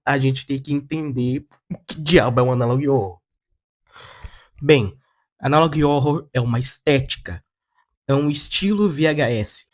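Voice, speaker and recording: A person with a Brazilian Portuguese accent giving casual opinion commentary as a video essayist, in a blunt, slangy, sarcastic way. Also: mid-range voice.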